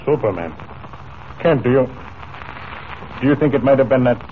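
An actor playing a commanding, forthright cowboy ranch leader in a dramatic 1940s radio serial. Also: male voice, medium pitch, lively intonation, moderate pace, close to an everyday voice. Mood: puzzled, stern. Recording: tinny, noisy 1940s radio broadcast.

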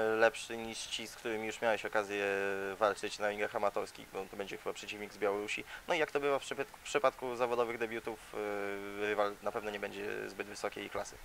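Male interviewer, low gravelly voice, long leading questions, fills pauses, faint hiss. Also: a bit bright.

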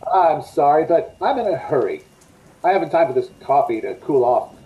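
A menacing, controlling man from a 1940s-style radio play, acted by a woman. The low, animated voice talks smoothly and insistently, on slightly dull video-call audio. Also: moderate pace, a little hiss or hum. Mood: brisk, courteous. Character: smooth-talking, menacing male stranger in a car.

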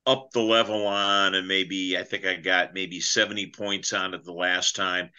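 A man's voice: deep voice, sharp tone, dull audio. An older man chatting casually on a video call about his model-building plans, rambling and self-deprecating, often trailing off mid-thought. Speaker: clinic presenter. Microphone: video call webcam.

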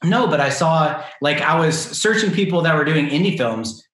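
A man in his thirties, a filmmaker guest speaking casually over a video call from a small room, relaxed and rambling. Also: a little high, normal speed. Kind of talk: casual interview answers. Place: small home room with shelves. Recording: roomy webcam sound.

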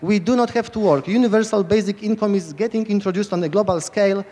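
Polish-accented man, high voice, rambling and eager, handheld mic, long reverb. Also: fast talker, warm tone. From Poland. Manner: rambling, enthusiastic, many restarts. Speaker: lightning talk speaker. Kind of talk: informal conference talk. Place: large tent stage at hacker camp.

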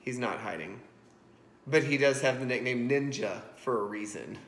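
A man's voice: mid-range voice, lively intonation, normal speed, a bit bright. A man in his thirties hosting a casual cooking vlog, explaining as he goes with a self-deprecating streak. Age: 30s. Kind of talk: casual chatty cooking commentary. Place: home kitchen, indoors. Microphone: iPhone propped on a stack of books. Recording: roomy, echoing kitchen sound.

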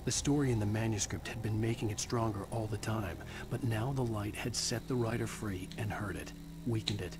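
An actor voicing a brooding thriller writer, giving grave, measured narration in a video game. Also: male voice, lowish voice, fairly even intonation, background noise, lightly acted. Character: brooding male thriller writer telling his own dark story. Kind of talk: scripted noir narration. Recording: game audio with loud music behind.

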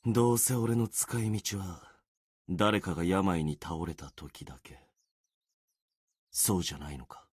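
A man voicing a gloomy, self-deprecating young warrior sword spirit in a video game, brooding and resigned, with a husky voice. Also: no echo, studio quality. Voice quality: low, husky. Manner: brooding, resigned, muttering with trailing pauses. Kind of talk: Japanese video game character acting.

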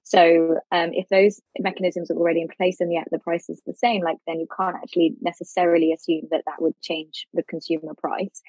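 Woman, lowish husky voice, conversational, hedging, dry mic. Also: little pitch movement, fairly quick, few pauses, darkish tone, no room sound, rough recording. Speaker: podcast guest. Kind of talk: podcast discussion.

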